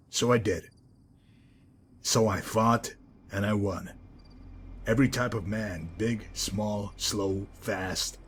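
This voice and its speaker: A man playing a cold, cynical former pit fighter and gang enforcer in a video game, speaking in a calm, wry, articulate and menacing way. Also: fairly low pitch, slight background noise, lightly acted. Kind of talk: video game character dialogue.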